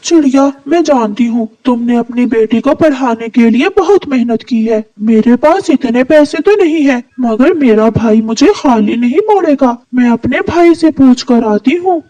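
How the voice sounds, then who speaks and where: A woman voicing a kind, helpful female parrot neighbour in a cartoon moral story, with a gently pleading manner. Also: on the high side, lively intonation, normal speed, hardly any pauses, dry recording, recorded from a distance, dull audio, voice pushed up.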